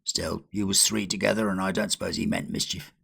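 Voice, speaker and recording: A man's voice: sits low, little pitch movement, a little fast, creaky, sharp tone, breathy edge, exaggerated voice. A man voicing a watchful, deferential English hotel servant with colloquial speech in a ghost story. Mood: wary curiosity.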